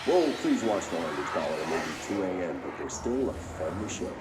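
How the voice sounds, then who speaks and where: An actor playing a polished, patronising host of a late-night conspiracy radio call-in show in a game. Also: male voice, sits low, very animated, fairly quick, roomy sound, heavy background noise. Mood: smooth, genial.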